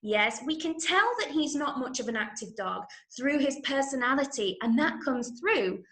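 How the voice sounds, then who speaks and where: A woman from Manchester with an English accent, teaching an online drama lesson to children in an encouraging, clearly explained way. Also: high-pitched, animated, moderate pace, a bit bright. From Manchester, England. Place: indoor room, online call. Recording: echoey room.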